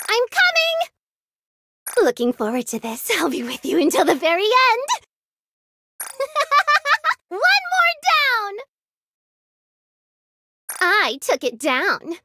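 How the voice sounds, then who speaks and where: A woman's voice: very high voice, wildly swooping pitch, slow pace, long pauses, bright, forceful tone, dry recording, clean studio sound, full character voice. An actress voicing a cute, playful young girl soldier with a sadistic streak in an anime-style mobile game, girlish and sweetly teasing. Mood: excited. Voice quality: girlish, cutesy.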